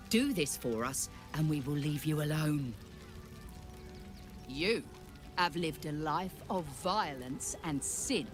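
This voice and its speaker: A low, grave monster-hunter leader. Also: female voice. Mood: solemn, resolute. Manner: formal, grave, deliberate delivery. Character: stern grieving monster-hunter leader, a woman, solemn and commanding. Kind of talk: video game dramatic acting.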